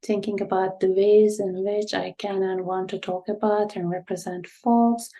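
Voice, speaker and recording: A woman's voice: mid-range voice, little pitch movement, normal speed, dark tone. A middle-aged woman from Turkey with a Turkish accent, a guest author answering an academic question in a reflective way, with many fillers and hedges.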